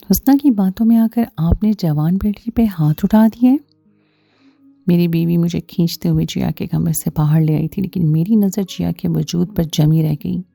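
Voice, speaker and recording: A woman's voice: fairly low pitch, vocal fry, dark tone, no echo. A woman reading a scripted first-person story as its narrator, with music behind her.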